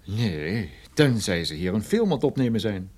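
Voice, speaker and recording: A man's voice: lowish voice, sing-song, normal speed, a little mellow, dry recording. An actor playing a wry, easily rattled male private detective in a radio drama. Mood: dryly amused. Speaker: lead actor and narrator. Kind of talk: radio detective drama with first-person narration.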